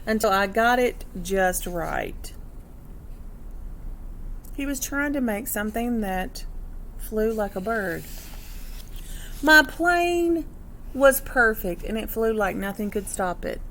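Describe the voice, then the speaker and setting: A woman with a mid-pitched voice reads a children's picture book aloud like a teacher. Her delivery is expressive and instructive, speaking directly to young listeners. There is faint noise behind her on a simple home-made recording. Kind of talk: children's read-aloud with explanatory asides.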